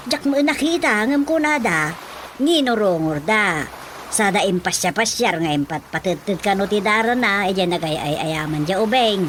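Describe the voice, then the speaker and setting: A woman's voice: mid-range voice, animated, unhurried, a bit bright, slight background noise. A woman playing a middle-aged, superstitious village mother in a radio drama, telling things expressively with a Filipino Ilocano accent. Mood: earnest storytelling. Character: chatty superstitious village mother who believes in folk healing.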